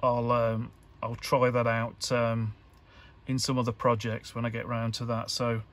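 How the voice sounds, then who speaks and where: A man giving an informal hands-on tutorial, talking in a halting, hesitant way as he thinks aloud. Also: mid-range voice, monotone, a bit bright. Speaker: electronics hobbyist presenter.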